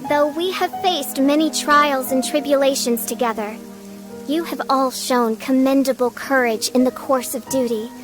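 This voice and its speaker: A woman acts a grandiose teenage girl with princessly airs, in video game style. Her voice is high and delivered with dramatic, stately poise over music. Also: normal speed, lightly acted. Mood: grand, solemn. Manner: pompous, archaic, dramatic phrasing.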